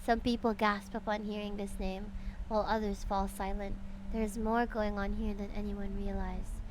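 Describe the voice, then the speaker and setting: A woman streamer reading game dialogue aloud, breaking off for playful, animated asides. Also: mid-range voice, noisy background. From Philippines.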